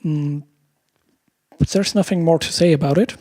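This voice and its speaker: Bright-voiced man casually explaining software. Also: on the high side, normal speed, no room sound. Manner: hesitant, searching for words. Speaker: host of a music production tutorial. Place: room at home. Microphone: close desk microphone with headphones.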